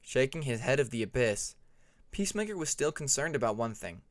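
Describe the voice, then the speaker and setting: A man's voice: mid-range voice, sing-song, a little fast, dry recording. A man reading a scripted story aloud as an audiobook narrator.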